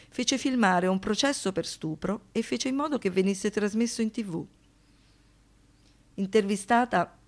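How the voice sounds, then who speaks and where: A woman giving a dramatic, theatrical recitation in a deliberate way, as a monologue performer. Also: mid-range voice. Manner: deliberate, repeats phrases for poetic effect.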